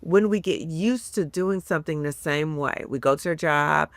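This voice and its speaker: A woman's voice: low-pitched, moderate pace, dry recording. A woman in her fifties, a psychologist, explaining earnestly in a casual podcast talk. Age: 50s. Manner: earnest, explanatory, acts out inner voices. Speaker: guest psychologist.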